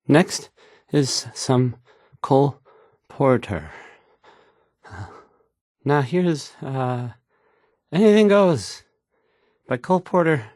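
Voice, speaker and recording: A man's voice: medium pitch, lively intonation, darkish tone, dry recording, studio quality. An actor playing an awkward, insecure young male radio DJ who stammers and gets flustered introducing songs. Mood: awkward, hesitant. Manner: halting, stammering, flustered, self-doubting. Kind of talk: stumbling radio song introductions.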